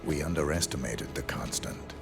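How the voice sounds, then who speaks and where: A man playing a stoic professional assassin in a game, terse and deadpan with a gravelly voice, over music. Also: a bass voice, moderate pace. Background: background music.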